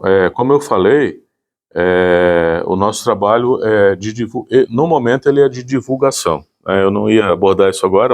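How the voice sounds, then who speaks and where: A man's voice: lowish voice, a little mellow. A man gives an informal explanation. He speaks as a volunteer presenting community work.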